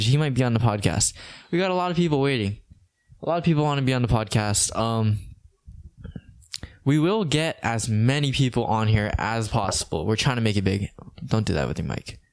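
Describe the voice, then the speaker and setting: A young man in his late teens from Hawaii hosts a laid-back podcast. He talks in a flat, monotone way, rambling and restarting his sentences. His voice is smooth and clear. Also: medium pitch. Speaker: podcast host. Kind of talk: casual podcast chat.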